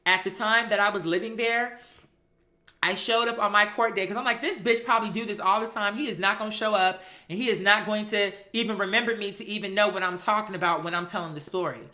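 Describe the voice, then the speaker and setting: Bright, sassy American live-stream storyteller. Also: medium pitch, fairly even intonation, fast. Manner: sassy, emphatic, slangy, acting out remembered exchanges.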